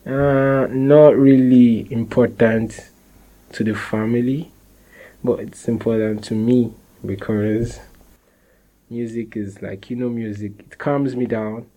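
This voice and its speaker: A young man with a Nigerian accent, answering interview questions in a relaxed way, often restarting phrases and laughing softly. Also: mid-range voice, takes it slowly, rough recording. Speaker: interviewee, musician.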